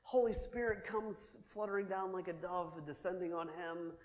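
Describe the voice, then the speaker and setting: A male pastor with a fairly high voice delivers an animated sermon. He swings between loud declaring and soft asides, and a long reverb trails him around the church hall. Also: normal speed.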